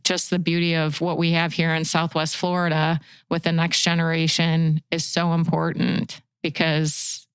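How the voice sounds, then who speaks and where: An American woman in her sixties with a slightly low, exceptionally clean and resonant voice. She talks in a reflective, heartfelt way as a podcast guest, and the recording is dry with no room sound. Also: a bit bright, clean tone, no room sound. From Chicago suburbs, USA. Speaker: podcast guest, fishing guide and outdoor writer. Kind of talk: reflective interview talk.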